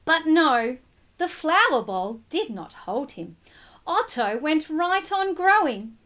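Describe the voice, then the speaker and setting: High lively lady doing storytime for kids, really expressive, chats to the children as she goes. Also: slow pace. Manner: expressive, addresses children directly, explains simply. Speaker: teacher reading a picture book aloud to children. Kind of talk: animated storybook read-aloud with asides to children.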